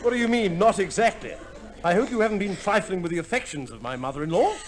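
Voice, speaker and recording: An actor playing a jovial soldier husband home from the war, bantering and exclaiming in 1950s radio comedy style. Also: male voice, high-pitched, lively intonation, quick pace. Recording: noisy old radio recording.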